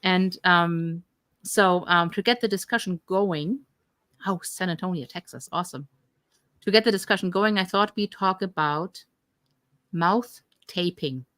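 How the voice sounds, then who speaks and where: A woman's voice: lowish voice, a little slow, fairly bright tone, no echo. A woman with a German accent, co-hosting a live health coaching Q&A stream with lots of ums and restarts. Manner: chatty, many ums and restarts. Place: home in Atlantic Canada.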